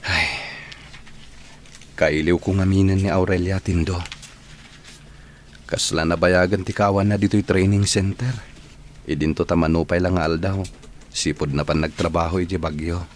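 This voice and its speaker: A man's voice: deep voice, fairly even intonation, normal speed, pauses often, slight background noise, lightly acted. An actor with an Ilocano Filipino accent playing a lovestruck young male trainee in a radio drama. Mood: wistful.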